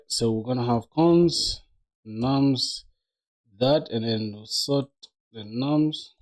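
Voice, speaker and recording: A man in his twenties with a soft-edged, mellow voice and an average pitch. He talks through code informally, like a streamer answering chat, using plenty of slang and speaking to viewers like close buddies. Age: 20s. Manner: slangy, addresses viewers as bro and dog. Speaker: coding livestreamer. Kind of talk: casual live-stream chat while coding.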